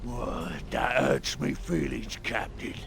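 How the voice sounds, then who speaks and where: Very gravelly, sulky ork commando. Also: male voice, medium pitch, expressive, slow, fairly bright tone, faint music behind, heavily acted. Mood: hurt pride. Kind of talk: cartoonish video game acting.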